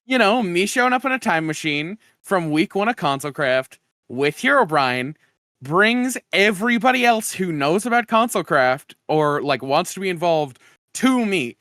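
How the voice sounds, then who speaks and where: Bright, animated man in slangy banter. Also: sits high, moderate pace, no echo, clean studio sound. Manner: blunt, profane, slangy banter. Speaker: podcast co-host. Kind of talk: casual chat.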